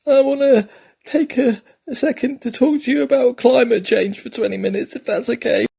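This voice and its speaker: A woman's voice: on the high side, steady tone, normal speed, vocal fry, mellow, slightly rough, heavily acted. A woman voicing a gushing, self-important award winner who falters through a speech. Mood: gushing, tearful gratitude.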